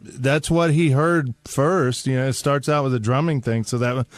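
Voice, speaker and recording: A man's voice: medium pitch, fairly even intonation, normal speed, no echo. A man talking casually as a guest in an informal radio chat. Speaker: show staff member and drummer being interviewed.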